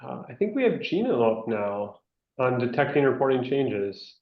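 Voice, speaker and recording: Man, mid pitch, webinar moderator, casual fillers, echoey room, muffled lo-fi mic. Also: big pitch swings, normal speed. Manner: loose, with fillers like "you know".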